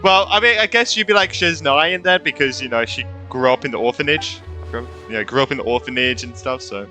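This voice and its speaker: A man's voice: medium pitch, fairly quick, sharp tone. A man giving casual playthrough commentary, reacting aloud in an informal, rambling way. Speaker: gaming streamer. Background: game music.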